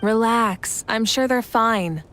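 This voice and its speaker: A woman playing a calm, confident, benevolent warrior queen in an anime-style video game, composed and encouraging. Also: fairly high pitch, slow pace, a little hiss or hum. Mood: cheerful, reassuring.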